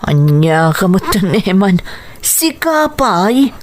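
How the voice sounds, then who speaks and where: A woman's voice: mid-range voice, very animated, slow pace, slight background noise. A woman playing a supportive, eager friend of a boxer in a radio drama, speaking emphatically and reassuringly. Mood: reassuring, urging. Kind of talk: radio drama dialogue in Ilocano.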